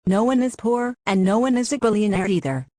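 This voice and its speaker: A mild female citizen from an explainer cartoon, played by a woman. Her mid-pitched voice is dark and mellow, and she states things simply. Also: brisk, dry recording, studio quality, close to an everyday voice. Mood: plain.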